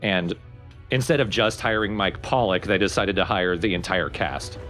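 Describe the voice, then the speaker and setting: A man with a fairly low voice co-hosts a casual let's play. He explains and critiques at length in an opinionated way. Faint game music plays behind him. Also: moderate pace, fairly bright tone. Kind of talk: casual game commentary with friends. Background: video game music.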